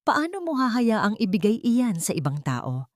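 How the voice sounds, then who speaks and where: A female narrator plays a wronged young wife in a storytelling drama. She pleads with trembling emotion, her pitch lifting above narration. The sound is dry. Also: sing-song, unhurried, dry recording. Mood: anguished pleading.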